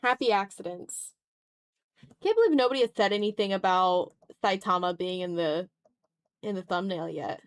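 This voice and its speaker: A woman's voice: mid-range voice, animated, normal speed, clear, resonant tone, no echo. A tired woman chatting casually on an art livestream while she draws, self-deprecating and often trailing off into muttering to herself.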